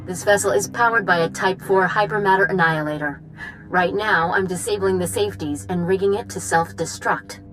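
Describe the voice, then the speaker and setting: A woman playing a cool, resolute starship captain in a video game, defiant and steady in a military way. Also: on the low side, a little fast, soft background music, close to an everyday voice, low-quality recording. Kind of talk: video game cutscene acting.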